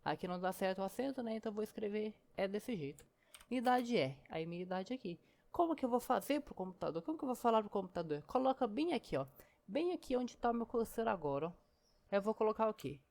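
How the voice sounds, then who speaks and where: A woman's voice: mid-range voice, sing-song, fast, darkish tone. A woman teaching programming in a casual screen-recorded lesson, chatty and step by step, often saying "ó" and "né".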